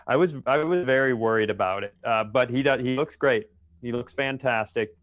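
Dude with a mid-pitched voice riffing on a comedy podcast, sweary, exaggerates, really dry close sound. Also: male voice, normal speed, no echo. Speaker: podcast co-host. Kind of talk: casual comedic banter and storytelling.

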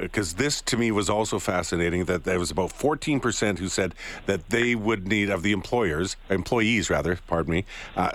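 A man's voice: on the low side, fairly bright tone. A man interviewing a guest, asking long, rambling questions with hesitant fillers and restarts. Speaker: news interviewer.

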